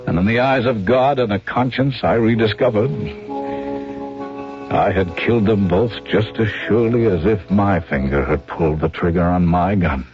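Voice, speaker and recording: A man's voice: low voice, lightly acted. An American man acting in a radio drama as a scheming agent with a conscience. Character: smooth scheming agent, later broken and remorseful. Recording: muffled old radio drama with music.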